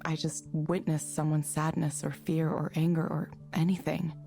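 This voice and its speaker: A reserved game counsellor with a lowish, soft-edged voice. Her delivery is calm, measured and understated, with faint music underneath. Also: vocal fry. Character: reserved, soft-spoken woman working as counselling proxy. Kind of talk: visual novel voice acting.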